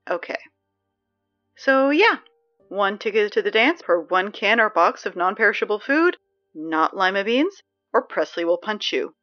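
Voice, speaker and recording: Woman doing a chatty teen announcer, gets excited fast, kinda muffled audio drama sound. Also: medium pitch, faint music behind, close to an everyday voice. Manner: chatty, easily excited, sarcastic asides. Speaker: school announcer.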